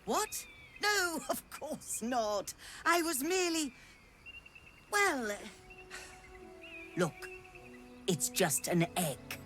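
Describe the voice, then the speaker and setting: An actress playing a genteel, self-pitying scholarly trader in a video game, theatrical and effusive with affected politeness. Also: female voice, lowish voice. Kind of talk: dramatic video game acting.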